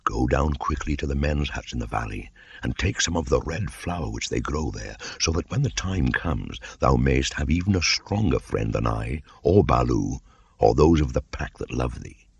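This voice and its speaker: Man voicing a very deep gravelly panther mentor. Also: animated, normal speed, exaggerated voice. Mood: urgent, grave. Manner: solemn, archaic storybook speech.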